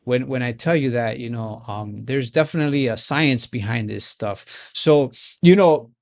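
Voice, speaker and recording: A man's voice: mid-range voice, normal speed, gravelly. A man from Chicago hosting an interview, talking in a loose, rambling way that is full of repeated words and restarts. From Chicago, United States. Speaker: podcast host. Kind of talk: rambling casual interview chat.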